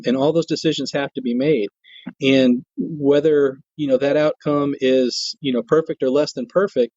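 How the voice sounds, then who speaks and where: Mellow-voiced man explaining his job, muffled audio. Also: mid-range voice, no room sound. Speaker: guest, air traffic control tower manager.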